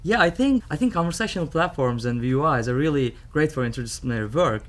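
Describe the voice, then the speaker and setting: A man's voice: on the high side, expressive, fast talker. Man, earnest and hesitant. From Turkey. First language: Turkish. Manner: earnest, hesitant with frequent fillers. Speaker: guest developer expert. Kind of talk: interview answers.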